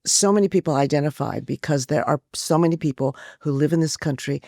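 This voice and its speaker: A woman's voice: deep voice, brisk, a little mellow, no room sound, clean studio sound. A woman journalist conducting a conversational interview, posing questions and reflecting on the answers.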